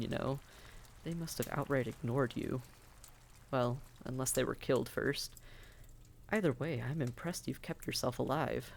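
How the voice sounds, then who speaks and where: A man playing a friendly, patient vampire innkeeper in a roleplay audio drama, giving gentle, folksy, reassuring advice straight to the listener. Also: mid-range voice, creaky, a little hiss or hum, close to an everyday voice. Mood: sympathetic, concerned. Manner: gentle, folksy, politely advising.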